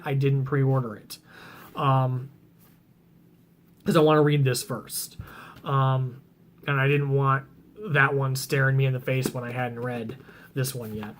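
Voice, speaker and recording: Casting: male book vlogger, scratchy tired voice, rambling, mid pitch. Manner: rambling, many ums and restarts. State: voice getting rough and scratchy. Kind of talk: casual chat about reading plans.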